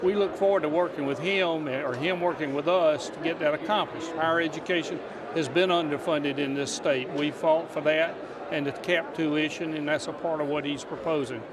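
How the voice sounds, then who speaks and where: A male state senator, answering an interview in a measured, diplomatic way. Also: a little high, fairly even intonation. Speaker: state senator, party leader. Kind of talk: political interview response. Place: large indoor statehouse lobby. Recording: noisy public lobby ambience.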